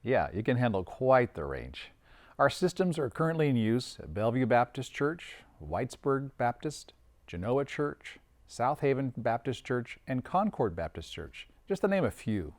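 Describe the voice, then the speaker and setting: A man's voice: medium pitch, very animated, normal speed, a little mellow. A man hosting a scripted promotional interview, presenting as an audio and video designer.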